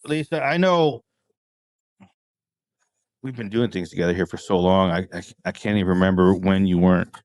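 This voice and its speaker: A man hosting a casual conversation, putting questions in a joking, halting way. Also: low-pitched, a little mellow, no echo, studio quality. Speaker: podcast host. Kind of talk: casual joking interview chat.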